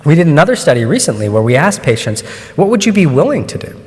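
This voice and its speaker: Doctor lecturing in an echoing hall. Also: male voice, on the high side, sing-song, darkish tone. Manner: measured, explanatory, with frequent ums and restarts. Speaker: oncologist giving a talk. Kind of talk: conference presentation. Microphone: microphone at a lectern. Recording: long hall reverb.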